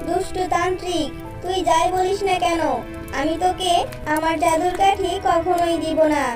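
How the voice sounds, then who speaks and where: A woman's voice: very high-pitched, level tone, moderate pace, music in the background. A woman voicing an earnest young fairy in a children's cartoon, in a roomy, distant recording. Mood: defiant. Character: kind, grateful, defiant young female fairy.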